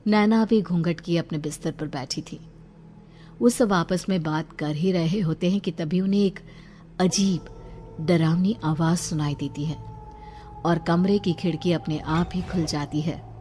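Low-pitched woman narrating horror stories. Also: steady tone, a little slow, dark tone, slight background noise. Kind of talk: scripted horror story narration. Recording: music under the voice.

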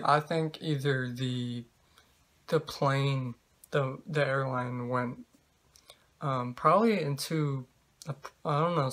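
Male vlogger, 20s, casual and halting, little pitch movement, talking to a camera at home. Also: fairly bright tone. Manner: halting, hesitant, trailing delivery. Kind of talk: casual rambling talk to camera. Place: small indoor bedroom.

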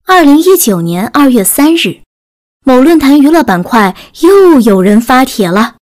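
A woman's voice: high voice, very animated, measured pace, darkish tone. A woman narrating a scripted audiobook story.

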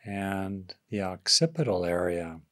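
A man leading a guided meditation as a teacher, giving gentle instructions with long pauses between phrases. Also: low-pitched, lively intonation.

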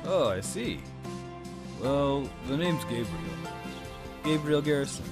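A man voicing a polite, formal new neighbor in a cartoon comic dub, courteous and unhurried. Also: medium pitch, expressive. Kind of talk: animated comic dub acting. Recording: loud music over the voice.

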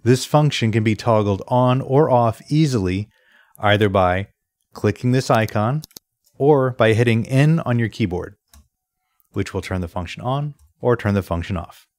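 A man presenting an instructional software screencast in a methodical, step-by-step way. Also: fairly low pitch, measured pace, dry recording. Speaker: tutorial presenter.

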